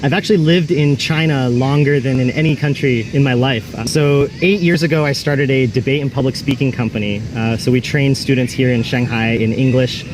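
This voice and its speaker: A man's voice: mid-range voice, brisk, few pauses. A man who runs a public speaking company, answering with confident, polished delivery in a street interview. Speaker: debate trainer, interviewee. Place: busy city street outdoors. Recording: street traffic noise.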